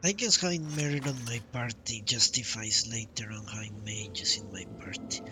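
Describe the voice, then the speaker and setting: A man giving casual live game commentary as a streamer, musing aloud in a halting way. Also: lowish voice, noisy background. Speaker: game livestreamer. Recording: loud game music behind. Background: video game music.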